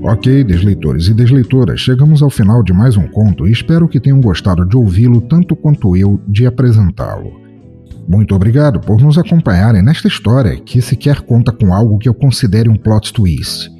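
A man's voice: fairly low pitch, big pitch swings, normal speed, mellow. A man hosting a podcast, addressing listeners in a scripted, theatrical and wry, wordy style. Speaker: podcast host. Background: background music.

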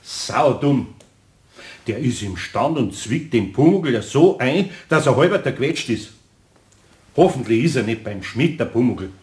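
An older Bavarian master craftsman, acted by a man in a radio drama. He speaks gently and somewhat hesitantly, kindly and plain, in a mid-range voice. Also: mid-range voice, lively intonation, unhurried, close to an everyday voice. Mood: remorseful. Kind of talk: German radio play acting.

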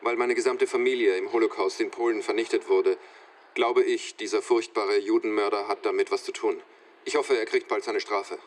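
Bitter man on the street actor, radio drama: fairly low voice, grave, terse. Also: steady tone, normal speed, lightly acted. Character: bitter bereaved man giving a street opinion.

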